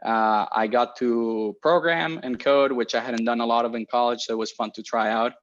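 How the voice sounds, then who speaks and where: A man's voice: medium pitch, fairly even intonation, moderate pace, a bit bright, highs cut off. A young man in his twenties who grew up in Spain, an engineer chatting casually to students about careers, friendly and rambling with many restarts. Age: 20s. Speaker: research engineer giving a career talk.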